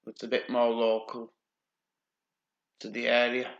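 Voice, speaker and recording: A man's voice: mid-range voice, monotone, unhurried, vocal fry, roomy sound, dull audio. Sardonic man reading the news. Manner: dry, sardonic, swears casually. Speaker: channel host. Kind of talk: reading news aloud with sarcastic commentary.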